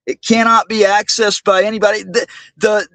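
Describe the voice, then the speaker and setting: A man hosting a livestream monologue, speaking indignantly and haltingly, often repeating his words. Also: high voice, level tone, pushed and bright, no echo. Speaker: livestream host.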